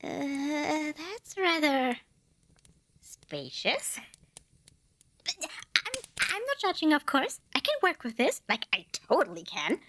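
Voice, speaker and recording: A woman's voice: high voice, wildly swooping pitch, slow pace, a bit bright, slight background noise, heavily acted. A woman playing a cute, eager and nervous little female demon in an ASMR roleplay, bubbly and over-polite with hesitant stammers. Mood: curious, awkward. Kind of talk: ASMR roleplay acting addressed to listener.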